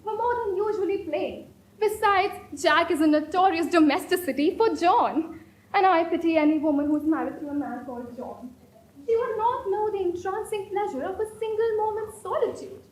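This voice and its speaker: A woman's voice: extremely high pitch, normal speed. A woman playing an imperious, fanciful young society lady in a stage comedy of manners, declaiming with affected, grand emphasis. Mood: haughty, rapturous. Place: indoor stage performance. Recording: roomy stage recording with faint noise.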